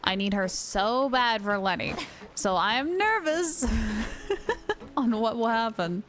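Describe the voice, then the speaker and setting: A woman livestreamer chatting casually over a game, with an excitable, gushing manner. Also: a little high, takes it slowly. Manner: excitable, gushing, baby-talks to her dog. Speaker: live game streamer. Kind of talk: casual livestream chat while gaming. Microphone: streamer's mic, wearing headphones.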